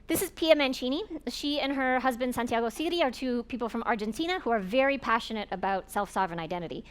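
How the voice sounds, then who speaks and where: Higher-voiced woman giving a talk. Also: fast. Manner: explanatory, earnest, addresses audience directly. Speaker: conference speaker. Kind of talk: presentation talk.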